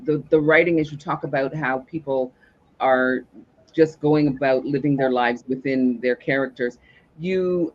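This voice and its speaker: A husky-voiced man speaking as a cast member in an interview, earnest and hesitant as he searches for words, on a lo-fi recording. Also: mid-range voice. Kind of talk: conversational interview talk. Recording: dull lo-fi connection.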